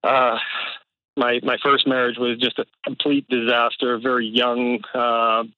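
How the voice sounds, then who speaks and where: A man's voice: medium pitch, flat delivery, a little slow, dry recording. Hesitant man on a phone line. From Canada. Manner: hesitant, many fillers and restarts, nervous laughs. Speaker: caller. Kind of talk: casual phone-in conversation. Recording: dull phone-call audio.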